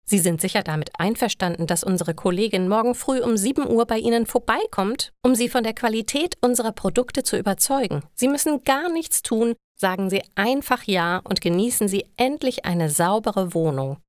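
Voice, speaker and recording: Woman narrator doing a pushy chirpy telemarketer lady, fast sales patter, audio story, dry sound. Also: mid-range voice, no echo, close to an everyday voice. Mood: pushy cheer. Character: pushy, upbeat female vacuum cleaner telemarketer.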